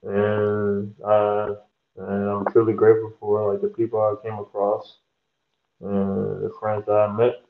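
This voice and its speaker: A man's voice: lowish voice, completely flat delivery, a little slow, gravelly, echoey room, narrow, tinny audio. A young man from Georgia, a pro basketball player chatting casually as a guest and rambling with plenty of fillers and restarts. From Georgia, USA. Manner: laid-back, rambling with many fillers and restarts. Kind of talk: casual interview chat.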